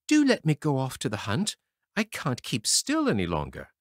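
A man's voice: medium pitch, huge pitch swings, normal speed, dry recording, clean studio sound, pitched above the performer's own voice. A man voicing an eager, thirsty young boy in a storybook reading, talking insistently. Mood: begging.

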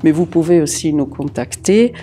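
A middle-aged woman with an Italian accent, an interpreter answering interview questions with many hesitant filler pauses, seated in an office. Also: sits low, animated, dark tone, faint music behind. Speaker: court interpreter. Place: office room with large window.

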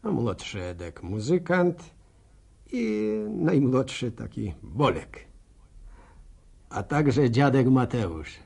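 Gravelly, slow-talking village postman. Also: mid-range voice, big pitch swings, dark tone, heavily acted. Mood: chatty, sly. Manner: rambling, colloquial, wry rural storyteller. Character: chatty, self-deprecating, envious middle-aged village postman with a limp. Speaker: narrator of a radio serial. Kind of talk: radio drama monologue, folksy storytelling to listeners.

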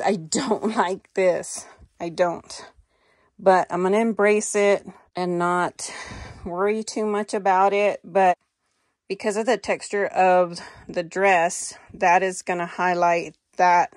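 American woman doing a chatty try-on vlog on her phone in a closet, slow easy pace, dry close sound. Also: lowish voice, fairly even intonation, dry recording. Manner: chatty, unscripted, addressing viewers directly. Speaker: fashion vlogger. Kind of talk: casual haul and try-on chat. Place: small indoor walk-in closet. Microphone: handheld phone.

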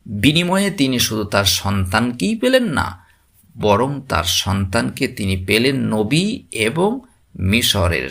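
A man gives a scripted religious narration in a solemn, measured, emphatic preaching manner. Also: lowish voice, creaky, a bit bright. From West Bengal, India.

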